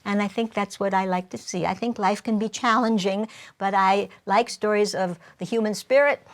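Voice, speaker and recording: A woman's voice: medium pitch, steady tone, normal speed. A woman storyteller speaking informally and reflectively in an earnest way. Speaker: professional storyteller.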